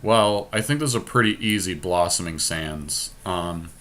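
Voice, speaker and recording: A man's voice: low voice, moderate pace, fairly bright tone. A man hosting a casual card game podcast, thinking aloud and explaining his choices with opinionated enthusiasm.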